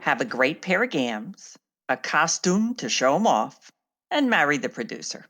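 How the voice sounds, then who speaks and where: A woman's voice: low-pitched, very animated, unhurried, a bit bright, full character voice. An actress playing a brassy, worldly-wise gossiping theatre woman in a radio play, full of slangy wisecracks. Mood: wry, admiring. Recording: music behind the voice.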